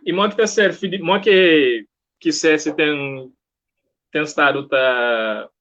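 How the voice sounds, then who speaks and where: A man's voice: fairly high pitch, a little slow, fairly bright tone. A man with a Cape Verdean accent conducting a casual interview in an encouraging, conversational way. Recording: dull lo-fi audio.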